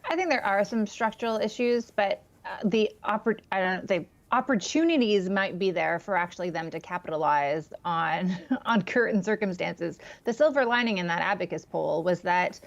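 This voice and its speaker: A woman's voice: medium pitch, normal speed, vocal fry, hardly any pauses, dull audio. A woman on a political news panel speaking in a thoughtful, hedging way, heard over remote audio.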